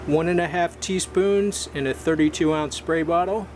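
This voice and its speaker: A man's voice: on the high side, moderate pace, a little mellow, slight background noise. A man with a plain, folksy way of talking, casually narrating his home gardening vlog.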